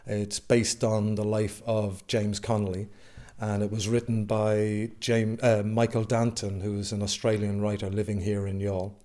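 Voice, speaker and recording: A man's voice: fairly low pitch, monotone, dark tone. A man from Dublin, an actor speaking in an interview with many hesitations. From Dublin, Ireland. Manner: halting, many filler sounds. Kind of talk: casual interview.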